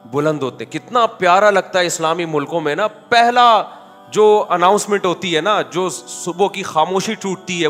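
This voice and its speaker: A man preaching in a conversational, animated way, telling anecdotes with quoted dialogue and rhetorical questions. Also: a little high, long reverb tail. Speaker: Islamic preacher. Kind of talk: conversational religious talk.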